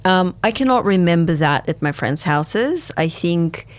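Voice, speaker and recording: A woman's voice: lowish voice, lively intonation, unhurried, no room sound. A woman from Kazakhstan and Russia, a guest answering interview questions in a reflective way, with frequent fillers and restarts.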